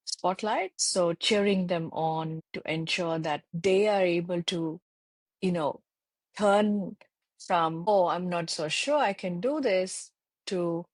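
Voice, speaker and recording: A middle-aged woman from India speaking as an interview guest on a video call, thoughtful and measured, pausing often with fillers. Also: on the low side, no echo. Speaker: interview guest, tech channel lead.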